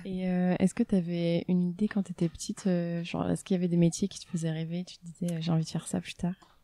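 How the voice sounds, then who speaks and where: A woman hosting a podcast, reading a lively intro and then chatting casually with her guest. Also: fairly low pitch, fast, dry recording. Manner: upbeat, chatty, uses fillers. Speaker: podcast host.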